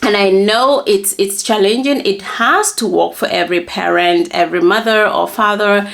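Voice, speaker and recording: A woman's voice: medium pitch, normal speed, creaky, fairly bright tone. A woman in her thirties with a Gambian accent, talking casually and personally to the camera as a vlogger, with emphatic storytelling. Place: small plain indoor room.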